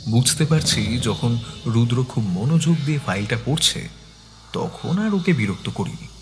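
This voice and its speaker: A man's voice: medium pitch, animated, normal speed, faint music behind, close to an everyday voice. A man narrating a dramatised detective audio story as the detective's attentive companion. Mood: measured. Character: attentive male companion of a detective, telling the case.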